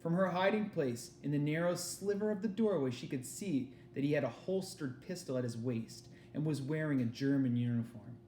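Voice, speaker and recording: A man reading a children's novel aloud with expressive narration, recorded in an echoey room. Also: on the high side, moderate pace, hardly any pauses, a little hiss or hum. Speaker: read-aloud reader.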